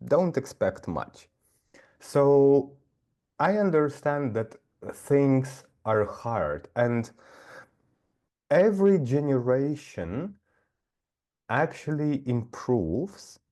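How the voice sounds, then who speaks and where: A man's voice: mid-range voice, sing-song, extremely slow, long pauses, warm tone. A middle-aged man, a nature guide talking thoughtfully in an interview, with many fillers and restarts. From Siberia. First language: Russian. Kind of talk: casual conversation.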